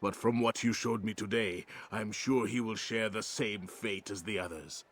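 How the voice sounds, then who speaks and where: An actor playing an anxious informant in a video game, speaking in a formal, measured way. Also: male voice, on the low side, fairly even intonation, close to an everyday voice. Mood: relieved, conspiratorial. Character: anxious male informant seeking help, formal and grateful.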